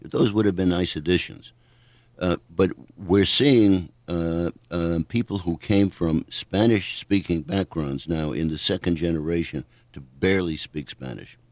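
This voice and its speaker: Deep-voiced older man, measured. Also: a little mellow, no echo. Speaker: guest author. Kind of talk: radio interview.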